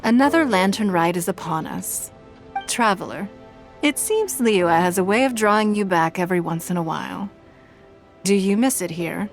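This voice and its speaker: A woman playing a poised, gracious woman of high standing in a video game, speaking in a measured, formal, elegant manner. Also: lively intonation, over music, close to an everyday voice. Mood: gracious, composed. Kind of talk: video game character dialogue.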